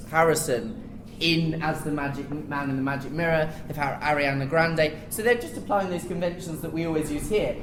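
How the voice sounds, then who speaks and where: A British woman on a discussion panel, explaining her opinions in an articulate, informal way. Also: low-pitched. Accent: British English. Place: theatre, live panel after a show. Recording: live theatre recording with background noise.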